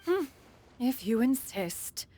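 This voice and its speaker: Haughty immortal crane lady, lively pitch. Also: slow pace, full character voice. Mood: composed, fond. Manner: haughty, formal, archaic, refers to self as "one". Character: proud, stately female adeptus, an immortal crane in human form. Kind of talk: scripted video game voice acting. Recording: music under the voice.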